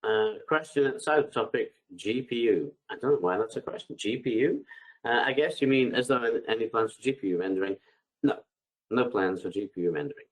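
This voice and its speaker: A man with a bad cold and a congested voice, answering webinar questions in a relaxed, hesitant way, reading each question aloud before replying, over a headset on a video call. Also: medium pitch, a little fast, rough recording. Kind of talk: live webinar Q&A, unscripted.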